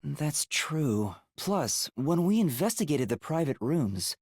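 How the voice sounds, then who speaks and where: A man's voice: a little high, very animated, takes it slowly, darkish tone, no echo, clean studio sound, full character voice. A man voicing an earnest, anxious young male trainee detective in an English video game, hesitant and thinking aloud. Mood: earnest, deducing.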